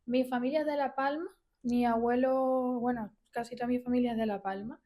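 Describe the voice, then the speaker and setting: A woman's voice: a little high, steady tone, brisk, dull audio. A woman in her thirties from Venezuela with a Venezuelan accent, telling stories casually as a podcast guest. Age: 30s. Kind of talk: casual conversational storytelling.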